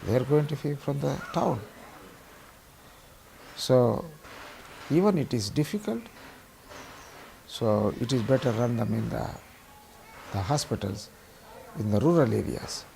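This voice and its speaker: A man with an Indian accent, speaking in a halting, deliberate way as he reflects in an interview. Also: medium pitch, expressive, creaky, dark tone, a little hiss or hum, low-quality recording. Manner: halting, deliberate, pausing mid-sentence. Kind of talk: documentary interview, reflective recollection.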